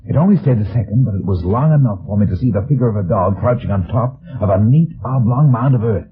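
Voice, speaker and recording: A man's voice: fairly low pitch, expressive, slight background noise, lightly acted. An actor playing a wry, wary, heavyset private detective, narrating his case tersely in a radio drama. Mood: tense, watchful. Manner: deliberate, terse, dry storytelling. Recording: old phone-like radio recording, music behind.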